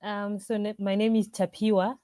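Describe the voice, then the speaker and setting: A woman's voice: medium pitch, level tone, moderate pace, warm tone, no room sound, studio quality. A woman from Zimbabwe with a Zimbabwean accent, answering casually as an interview guest. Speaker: interview guest, craft brewer.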